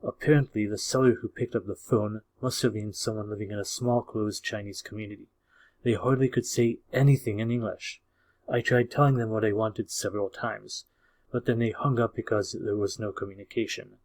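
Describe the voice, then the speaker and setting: A man whose first language is Hungarian hosts a video and delivers a scripted opinion monologue in a measured, explanatory way, often posing rhetorical questions to the viewer. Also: lowish voice, fairly even intonation, darkish tone. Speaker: video host.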